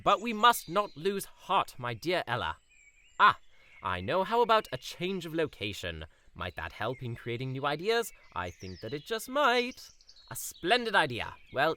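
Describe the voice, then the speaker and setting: Pompous fairytale prince played by a man, bright voice, swooping intonation, flowery formal diction, audio drama, light background noise. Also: a little high, exaggerated voice. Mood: grandly confident. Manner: pompous, flowery old-fashioned formal speech.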